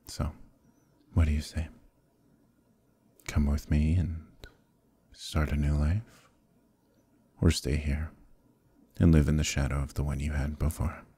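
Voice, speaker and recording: A man playing an evil conquering knight in an ASMR roleplay, speaking in a gravelly, husky, measured way, calm and menacing yet quietly coaxing. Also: a bass voice, no room sound, heavily acted. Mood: soft coaxing. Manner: measured, deliberate, quietly persuasive, pausing often. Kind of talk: ASMR roleplay, slow intimate dramatic monologue.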